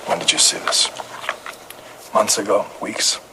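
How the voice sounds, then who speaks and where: An actor playing a calm, relentless police detective in an audio drama, questioning in a terse, methodical way. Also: male voice, unhurried, natural voice. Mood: probing, pressing. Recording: clear background noise and ambience.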